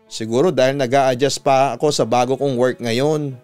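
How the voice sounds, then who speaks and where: A man's voice: medium pitch, steady tone, moderate pace, darkish tone, clear, resonant tone, dry recording, soft background music, natural voice. A man voicing a defensive young working woman with a Filipino accent who brushes off concern in a dramatized story. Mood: dismissive. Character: defensive, money-strapped young working woman, the letter sender.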